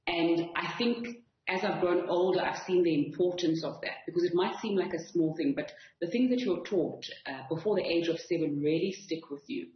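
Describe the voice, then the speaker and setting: A woman's voice: lowish voice, moderate pace, hardly any pauses, echoey room, lo-fi sound. A woman with a South African accent giving earnest, articulate, conversational answers as an interview guest. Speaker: author and guest.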